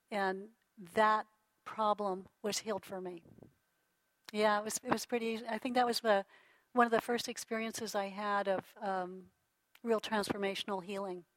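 A middle-aged woman lecturing a class on healing in an unhurried, reflective way, with a voice that sits at an ordinary middle pitch. She often circles back to restart her sentences and breaks into soft laughs. The recording is close and dry, with no room sound. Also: no echo, low-quality recording. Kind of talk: relaxed teaching talk to a class.

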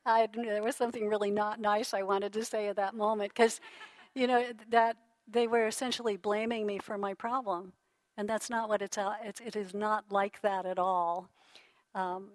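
Calm, reflective woman lecturer, dry sound. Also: mid-range voice, no room sound. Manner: calm and reflective, with frequent restarts and soft laughs. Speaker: lecturer on holistic healing. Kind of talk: relaxed teaching talk to a class.

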